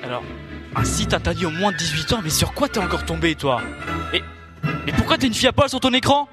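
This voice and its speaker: A man's voice: high voice, very animated, quick pace, over loud music. A teenage boy acting as a sarcastic, exasperated but helpful sidekick in a student radio comedy, grumbling in slang.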